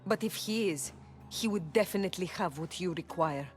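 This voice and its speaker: A troubled oracle of ancient Greece voiced by an actress, her voice on the low side. She speaks with solemn, guarded deliberation, like someone confessing in a game drama, with music underneath. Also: moderate pace, close to an everyday voice. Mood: wary. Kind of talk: dramatic video game acting.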